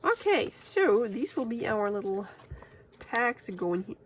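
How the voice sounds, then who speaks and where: A woman's voice: fairly high pitch, big pitch swings, unhurried, slight background noise. A woman with a German accent, German being her first language, chatting casually and laughing at herself while she makes crafts at home. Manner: chatty and self-amused, laughs often, talks to herself while working. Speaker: crafting channel host.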